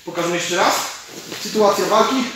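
A male martial arts coach in his thirties explains techniques in a slightly high voice. He is recorded at a distance in a very echoey gym, with faint noise behind him. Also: normal speed. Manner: explains while demonstrating moves. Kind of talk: instructional technique demonstration. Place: large indoor gym with fighting cage. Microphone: camera mic from several metres away. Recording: distant mic, very echoey hall, noisy.

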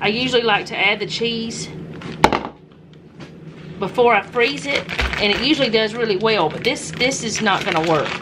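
Home vlogger, woman, slightly high voice, relaxed think-aloud delivery, noisy kitchen recording. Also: moderate pace. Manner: chatty, thinking aloud while cooking. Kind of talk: casual cook-with-me narration.

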